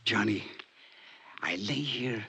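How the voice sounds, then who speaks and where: A raspy, low-voiced dying convict. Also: male voice, pushed and bright, full character voice. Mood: halting, confessing. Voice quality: raspy, coughing. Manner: slangy, halting tough-guy talk. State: sick, short of breath. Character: dying small-time con man convict, weak but stoic. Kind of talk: 1950s radio drama acting.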